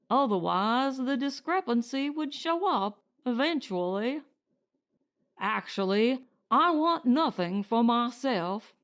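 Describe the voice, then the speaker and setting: Woman voicing slow, smooth-talking crooked male director. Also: on the high side. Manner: slow, wooden, feigned politeness. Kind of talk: audiobook character dialogue.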